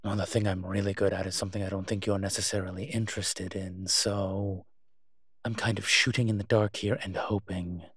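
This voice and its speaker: A man playing a proud, flirtatious incubus demon professor in an intimate audio roleplay, husky and teasing, with hesitant, drawn-out pauses. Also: low-pitched, level tone, a bit bright, no echo. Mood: awkwardly flirtatious.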